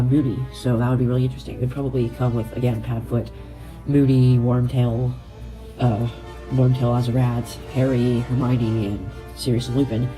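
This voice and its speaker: A man's voice: mid-range voice, low-quality recording. A young man with a congested, stuffy nose, rambling casually with many fillers and self-corrections. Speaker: LEGO video creator. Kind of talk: casual speculation vlog.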